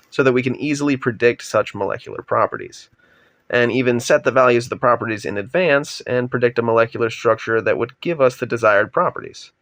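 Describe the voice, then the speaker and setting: A young man with a voice raspy from a cold, steadily narrating a slide presentation on his undergraduate research project. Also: mid-range voice, no room sound. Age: young adult. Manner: steady, explanatory, trails off at sentence ends.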